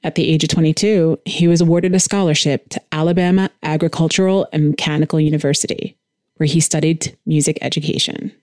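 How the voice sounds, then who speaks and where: A woman's voice: low-pitched, fairly even intonation, fairly quick, a little mellow, no echo, clean studio sound. A woman hosting a podcast, reading a scripted documentary-style narration. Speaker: podcast host.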